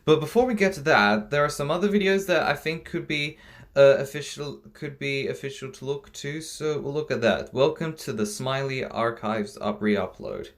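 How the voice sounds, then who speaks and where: A man's voice: mid-range voice, moderate pace. A man hosting a reaction video, talking casually in a hesitant, rambling way about what he watches.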